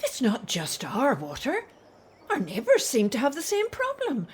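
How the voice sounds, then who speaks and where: A woman playing an aged, anxious Hebrew mother in an audio drama, expressive in her delivery. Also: sits high, unhurried, husky, heavily acted. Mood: concerned, wondering. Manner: worried, expressive.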